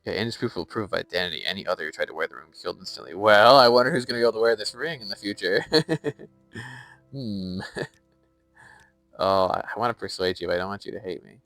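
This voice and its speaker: A man's voice: lowish voice, animated, fast talker, fairly bright tone, dry recording, faint music behind. A young American man chatting casually on a live stream while playing a game and reading its lore aloud. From United States.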